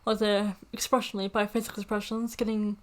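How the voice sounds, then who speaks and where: A woman's voice: medium pitch, level tone, brisk. A woman who is a native English speaker, chatting casually as a reaction video host in a rambling, hesitant way, often repeating herself, into a USB desktop microphone. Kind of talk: casual chat to camera.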